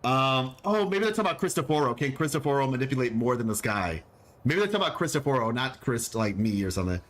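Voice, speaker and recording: A man's voice: medium pitch, lively intonation, fast, a bit bright. A man chatting casually on a game stream, rambling with lots of fillers in a laid-back way. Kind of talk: casual live-stream chat.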